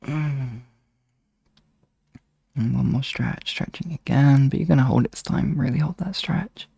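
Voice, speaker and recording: A man hosting a live ASMR hypnosis session, guiding relaxation in a soft, soothing, gently instructing manner. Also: medium pitch, a little slow, creaky, husky, no echo, studio quality.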